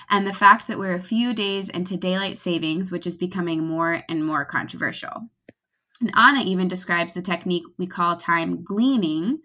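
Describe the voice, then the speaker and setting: Woman co-host with slightly low voice. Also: fairly bright tone. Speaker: podcast co-host. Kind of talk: scripted introduction narration.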